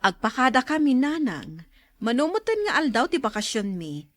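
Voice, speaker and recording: A woman's voice: high-pitched, big pitch swings, normal speed, fairly bright tone, no echo. A woman playing a dutiful grown daughter in an Ilocano radio drama, speaking with an Ilocano accent. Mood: polite. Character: dutiful adult daughter visiting her mother.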